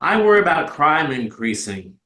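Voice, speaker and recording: A man delivers a scripted stage reading in a bright, forceful voice. It sits a bit high for a man and rings in an echoing room. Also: big pitch swings, normal speed. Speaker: community stage performer. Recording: roomy, echoing live space.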